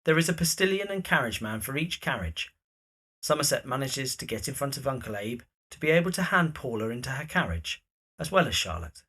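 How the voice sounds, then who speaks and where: A man narrating a period audio drama from a script, describing the scene in a husky voice. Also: mid-range voice, very animated, a little fast, sharp tone.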